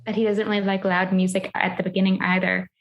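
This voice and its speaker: A woman's voice: mid-range voice, level tone, fast talker, lo-fi sound. A woman talking casually on a book club podcast, enthusiastic and playful.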